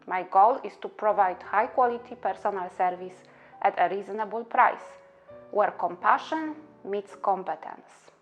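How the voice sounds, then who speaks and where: A woman from Poland with a Polish accent, an immigration attorney speaking in an earnest, measured, scripted way about her own experience. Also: medium pitch, muffled recording, faint music behind. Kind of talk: scripted promotional monologue.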